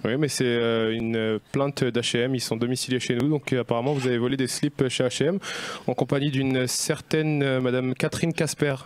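A young man playing an officious, insistent police colonel on a prank call, formal and deadpan. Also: medium pitch, fairly quick, pitched below the performer's own voice, close to an everyday voice. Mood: deadpan, official.

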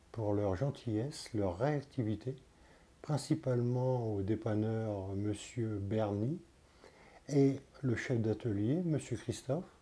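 A man's voice: on the low side, dark tone. A man in his sixties from France, vlogging outdoors on a lapel mic and telling a story plainly, with many hesitations. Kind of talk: casual vlog storytelling. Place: outdoors by a roadside and parking lots. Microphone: clip-on lapel mic.

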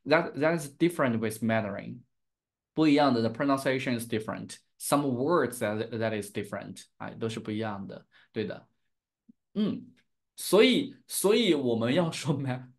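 A young man from Chengdu with a Chinese accent, teaching Mandarin on a live stream and switching between English and Chinese. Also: medium pitch. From Chengdu, Sichuan, China. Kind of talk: live-streamed language lesson.

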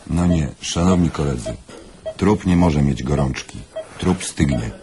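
An actor playing a pedantic, matter-of-fact surgeon in a radio drama. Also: male voice, very deep voice, unhurried, over music. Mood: dry.

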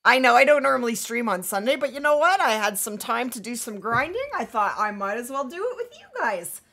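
Bright chatty woman losing her voice. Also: a little high, very animated, fairly quick. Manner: chatty, greeting viewers by name. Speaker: streamer. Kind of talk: live game stream chat. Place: home streaming setup.

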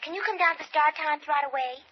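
Eager young woman helper, high voice, phone line, muffled old radio. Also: fast talker. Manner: eager, keen. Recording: muffled 1940s radio broadcast.

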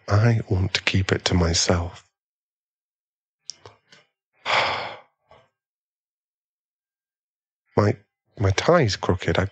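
A man playing a doting, easily swayed millionaire boyfriend in an ASMR roleplay, pleading and halting, with breathy sighs. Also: low voice, exaggerated voice. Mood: tender.

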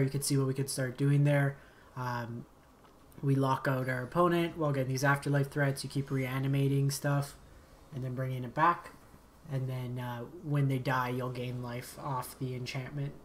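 Streamer type: slightly raspy man, low-key play-by-play, mid-pitch, light background hiss. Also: little pitch movement, moderate pace. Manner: thinking aloud, low-key, muttered asides. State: slightly raspy from long talking. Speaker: game streamer. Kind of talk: casual gameplay commentary. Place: home streaming setup.

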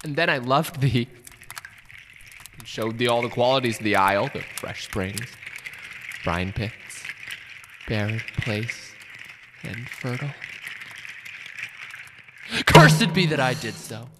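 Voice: mid-range voice, animated, slow, pauses often, noisy background. An actor playing a bitter, resentful enslaved island monster, cursing and mocking theatrically in a Shakespearean radio play. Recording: long reverberant hall sound with music behind.

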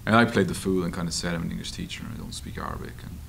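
Male interviewee, Irish, low creaky voice, conversational storyteller, light room noise. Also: rapid-fire. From Ireland. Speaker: journalist and author being interviewed. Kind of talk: conversational interview answers at a public discussion. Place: meeting room at a table. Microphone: table microphone. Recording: steady background room noise.

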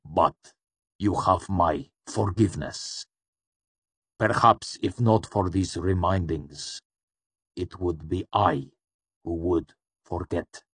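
A man voicing a hooded dark lord in a fantasy story, cool and soft, speaking with patient, polite menace. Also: sits low, slightly rough, no room sound, exaggerated voice. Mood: cool, edged. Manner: calm, polite, deliberate menace.

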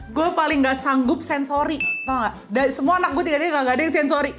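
A woman's voice: high-pitched, fast, over music. A woman in her thirties with a Betawi accent, chatting casually and animatedly as a guest. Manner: chatty, animated, with playful put-on voices. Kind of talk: casual chat. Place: bright indoor room.

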